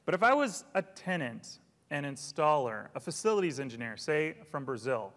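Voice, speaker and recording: A man's voice: fairly high pitch, big pitch swings, normal speed, a bit bright. A man in his thirties presenting a product launch keynote on a large auditorium stage, upbeat and theatrical. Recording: long hall reverb.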